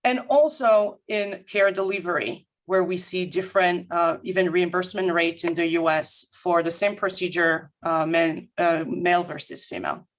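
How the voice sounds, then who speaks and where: A woman from Paris with a French accent, a guest consultant presenting formally and in a measured, articulate way to an audience. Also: on the low side, fairly even intonation. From France, grew up in Paris. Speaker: guest speaker, management consultant. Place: remote video-call talk.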